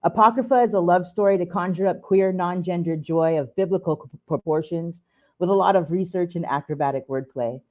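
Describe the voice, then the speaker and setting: A woman's voice: lowish voice, a little fast. Through a thin, phone-like connection, a woman reads a prepared event introduction. She presents it as a welcome to guests. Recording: thin phone-like narrow band.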